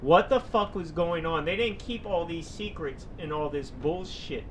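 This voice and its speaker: A man's voice: a little high, moderate pace, slight background noise. A man in a casual podcast chat who argues back hard and swears often. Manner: argumentative, profane. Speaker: podcast guest.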